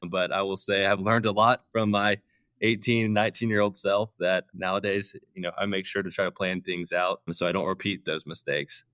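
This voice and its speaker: A man's voice: lowish voice, a bit bright, clean tone, dry recording, studio quality. A man who is a campus president, talking casually in an interview, hesitant with many restarts.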